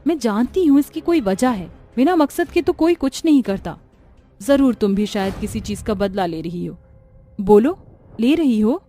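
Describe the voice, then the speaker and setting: A woman's voice: on the high side, expressive, moderate pace, warm tone, lightly acted. Brief: woman doing a daring young woman in a cartoon, raised voice, probing, music under. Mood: confronting. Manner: confrontational, probing. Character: brave young woman, bold and questioning.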